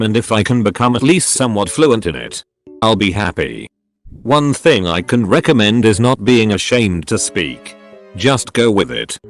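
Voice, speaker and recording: A man reading online forum posts aloud, one after another, in a matter-of-fact way with short pauses between them. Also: fairly low pitch.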